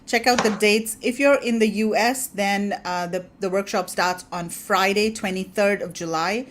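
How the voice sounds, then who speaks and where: A woman hosting a webinar over a video call, upbeat and brisk as she announces and asks questions. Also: mid-range voice. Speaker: webinar host.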